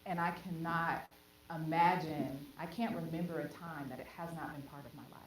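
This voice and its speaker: A woman's voice: deep voice, fairly even intonation, normal speed. A woman panelist speaking earnestly and with passion about her calling. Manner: earnest, impassioned. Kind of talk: panel discussion. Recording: very echoey hall, clear noise.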